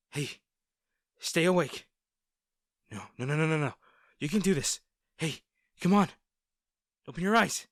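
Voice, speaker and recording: Slow-paced, caring young rescuer played by a man. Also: on the high side, dry recording, studio quality. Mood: panicked, pleading. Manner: gentle, reassuring, hesitant. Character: Matthew, kind, worried young male rescuer at crash. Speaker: voice actor in roleplay audio. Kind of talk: acted first-person roleplay audio drama.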